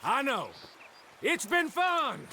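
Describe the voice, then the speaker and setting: An actor playing a cocky, reckless young outlaw with a teasing drawl in a video game cutscene. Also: male voice, extremely high pitch, very animated, takes it slowly, bright, forceful tone, a little hiss or hum, exaggerated voice. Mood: smug, teasing.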